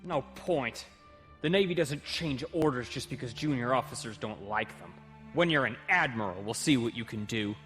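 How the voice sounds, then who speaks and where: A man's voice: mid-range voice, animated, moderate pace, a bit bright, faint music behind, lightly acted. A man voicing a candid, wary young junior officer in a dramatic comic dub. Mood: resigned.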